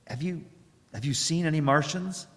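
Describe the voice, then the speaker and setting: A man playing a haunted, weary wanderer, solemn and sometimes halting, in a live radio drama. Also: a little high, fairly bright tone. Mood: nervous, hesitant. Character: haunted, weary male wanderer narrating his survival. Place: church hall stage, live performance. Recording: echoey hall, live performance.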